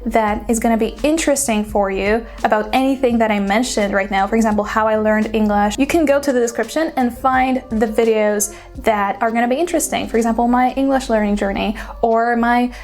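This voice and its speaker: A woman's voice: a little high, fairly even intonation, fairly quick, darkish tone. Chatty Polish-accented young woman. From Poland. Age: 20s. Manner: chatty, frequent "like" fillers. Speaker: YouTuber. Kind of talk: casual vlog chat.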